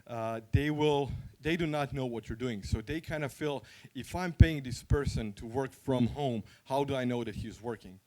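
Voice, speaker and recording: A man's voice: mid-range voice, darkish tone. Croatian-accented man giving an informal talk. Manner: candid, conversational, anecdotal. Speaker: conference speaker and freelancer.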